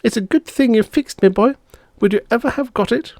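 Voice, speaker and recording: A man's voice: extremely high pitch, brisk, a little mellow, dry recording, clean studio sound, voice pushed up. A man voicing an eager, whiny little girl, a kid sister in an audiobook story. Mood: awed, eager. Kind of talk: audiobook character voice.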